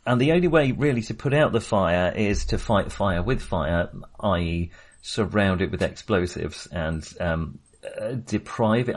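A man co-hosting a film discussion, talking casually and haltingly with a wry manner. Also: mid-range voice. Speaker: film podcast co-host.

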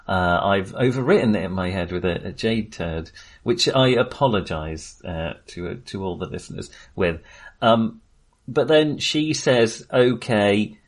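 A man co-hosting a casual film discussion, talking in a hesitant, self-deprecating way. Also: on the low side. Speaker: podcast co-host reviewing films.

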